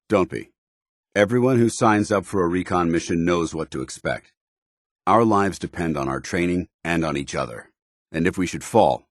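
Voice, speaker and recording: An actor playing a stern, honorable soldier in a video game, with a gruff voice and a clipped, formal, earnest delivery. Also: male voice, deep voice, dry recording, studio quality, heavily acted. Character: stern, duty-bound military paladin, gruff and honorable adult man. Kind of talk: video game companion dialogue.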